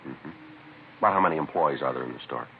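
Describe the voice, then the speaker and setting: An actor playing a terse, businesslike police detective who narrates his case in a clipped, deadpan, matter-of-fact way, in 1950s radio drama style. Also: rumbling low, quick pace, gravelly. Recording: lo-fi noisy 1950s radio recording.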